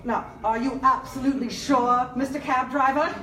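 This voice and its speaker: Echoey distant stage, high voice, imperious snobbish spinster, scolding, suspicious, live radio play. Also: female voice, fairly even intonation, moderate pace, a bit bright. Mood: bossy, suspicious. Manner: imperious, scolding, clipped orders. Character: bossy, suspicious, stingy snobbish spinster sister. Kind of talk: 1930s radio drama acting, performed live. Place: live on stage in a large theater.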